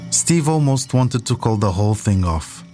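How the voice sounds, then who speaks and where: A man's voice: on the low side, expressive, moderate pace, warm tone, soft background music. A man delivering scripted documentary narration as the storyteller of a podcast.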